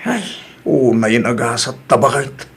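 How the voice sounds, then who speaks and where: A man's voice: mid-range voice, expressive, a little slow, creaky, fairly bright tone, breathy edge, full character voice. A man with a northern Philippine accent playing an older, evasive, defeatist gambling father in an audio drama. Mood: doubtful.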